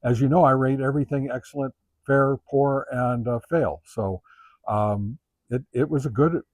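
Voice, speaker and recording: A man giving a casual, unscripted product review as host, talking in a halting, conversational way as he thinks aloud. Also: medium pitch, a little mellow, dry recording.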